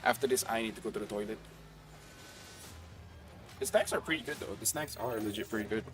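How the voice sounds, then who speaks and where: A man's voice: on the low side, brisk, distant mic, over music. A man from Malaysia, hosting a watch party stream and chatting casually with his viewers, reading out their comments. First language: English. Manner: chatty and offhand, reads out chat comments.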